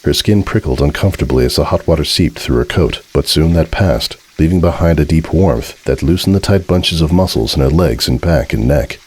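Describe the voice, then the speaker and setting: A man giving a dramatic scripted narration of a story in a measured, solemn way. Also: rumbling low, animated, a little mellow, breathy edge, low-quality recording. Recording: music behind the voice.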